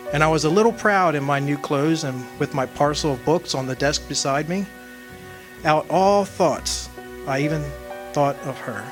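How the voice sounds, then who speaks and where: A man playing a wistful old soul in a radio drama, remembering his lost love in measured, poetic, solemn narration. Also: on the high side, close to an everyday voice. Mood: sorrowful, haunted. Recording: music behind the voice.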